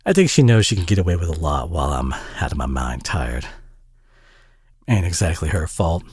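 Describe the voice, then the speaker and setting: A man playing a warm, tired, gently flirty single dad with a Southern US accent in an audio roleplay, talking in a folksy, hesitant way. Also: a bass voice, very animated, normal speed, breathy edge, no room sound, studio quality, exaggerated voice. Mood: weary, fond. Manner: folksy, hesitant, chuckling drawl with pet names. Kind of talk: intimate audio roleplay drama.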